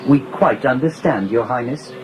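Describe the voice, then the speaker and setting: An actor voicing a prim, deferential protocol droid with a British accent and formal, over-polite diction in an audio drama. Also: male voice, medium pitch, normal speed, close to an everyday voice, low-quality recording. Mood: eager, deferential.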